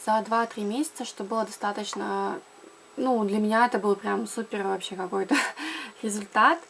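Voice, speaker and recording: A woman's voice: mid-range voice, moderate pace, vocal fry, fairly bright tone, slight background noise. A young woman from Karelia chatting casually to camera about learning languages, with frequent fillers and self-corrections. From Karelia, Russia. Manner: chatty, lots of fillers and restarts. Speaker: language blogger.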